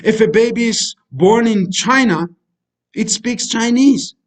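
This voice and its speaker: A middle-aged man whose native language is Kurdish, giving a motivational voice-over lecture over slides. His voice sits noticeably high for a man. He preaches in a repetitive way and keeps calling the listeners friends. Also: a little slow. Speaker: life coach.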